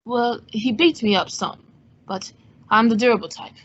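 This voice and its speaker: A woman's voice: fairly high pitch, normal speed, fairly bright tone. A woman playing a suave, wisecracking male adventurer-detective in an old-time radio drama, with a wry, composed, teasing manner. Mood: wry, cool. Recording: dull, muffled lo-fi sound.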